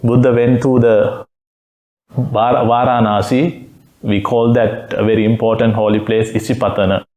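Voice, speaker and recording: A Buddhist monk from Sri Lanka giving a Dhamma talk, speaking in simple phrases and pausing often with "uh" between words. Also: male voice, medium pitch, little pitch movement, dark tone, low-quality recording. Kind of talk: slow, halting Dhamma talk with many fillers. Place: meditation center room.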